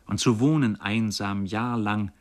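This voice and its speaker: A man narrating literary prose and reciting poetry in a measured, formal manner for radio. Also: on the low side, steady tone. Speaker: radio feature speaker.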